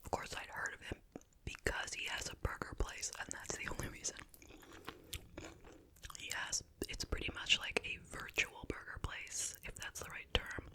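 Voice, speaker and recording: Breathy whispered ASMR chit chat host. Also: moderate pace. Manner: casual, conversational, hedging with asides. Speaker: ASMR video creator and host.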